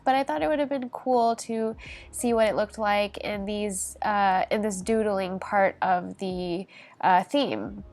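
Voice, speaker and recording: A young woman talking in a bubbly, rambling way and correcting herself often, a little congested and nasal as she walks through her planning. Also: medium pitch. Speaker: bullet journal creator. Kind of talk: chatty vlog talk over planning footage.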